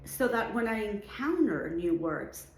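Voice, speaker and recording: A middle-aged woman from Venezuela with a Venezuelan Spanish accent, speaking to students as an art show juror in an unhurried way, with fillers and restarts. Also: medium pitch, sing-song. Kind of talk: recorded address to students. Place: art studio indoors, seated. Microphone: camera in front, medium framing. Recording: echoey room.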